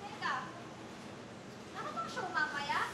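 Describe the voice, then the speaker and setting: A woman's voice: very high-pitched, very animated, a little slow, full character voice. A woman in a staged play reading, playing a frightened, whiny tomboy girl who protests like a child. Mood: worried. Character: whiny, frightened tomboy little sister just reaching puberty. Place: basement theater stage. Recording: echoey stage room, distant, loud background noise.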